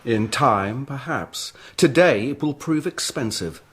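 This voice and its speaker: An indignant barbarian envoy in a radio drama. His rough, husky voice moves expressively as he makes blunt, proud demands. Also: medium pitch, animated, slow pace, a bit bright, full character voice. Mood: stern, demanding. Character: proud, indignant male envoy of Attila the Hun.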